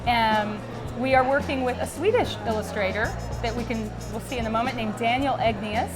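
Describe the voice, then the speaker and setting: A woman's voice: on the high side, fairly quick, poor audio. A woman from Dallas, a native Greek speaker, talking through her background in a chatty way with many ums and restarts. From Dallas, Texas. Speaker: gallery owner. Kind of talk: interview at an art show. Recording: music and crowd behind. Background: crowded gallery event with music.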